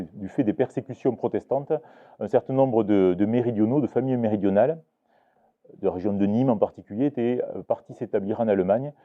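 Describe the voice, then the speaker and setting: Male lecturer, lowish voice, halting with restarts, tinny phone-like recording. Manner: hesitant, many restarts and fillers. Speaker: Occitan teacher giving a talk. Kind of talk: live lecture to an audience. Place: book fair talk.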